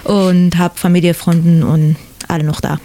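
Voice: mid-range voice, little pitch movement, measured pace, mellow. A native Persian speaker from Iran with a Persian accent, a guest in a radio discussion who searches for words. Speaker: interview guest. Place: radio studio.

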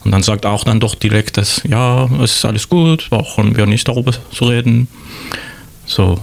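A man's voice: fairly low pitch, moderate pace, gravelly. A man from Iran, a native Persian speaker with a Persian accent, speaking hesitantly as a guest in a radio discussion. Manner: hesitant, non-native phrasing. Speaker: interview guest. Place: radio studio.

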